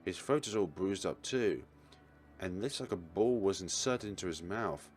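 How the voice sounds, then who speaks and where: Low-voiced man reading a visual novel. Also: lively intonation, moderate pace. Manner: stumbles and corrects words while reading. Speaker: let's player.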